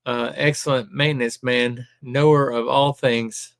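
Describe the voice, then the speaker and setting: A man's voice: mid-range voice, fairly even intonation, measured pace, no room sound. An older retired man from Alabama with a Southern accent, hosting a live stream and chatting casually as he reads viewer comments aloud with plenty of ums.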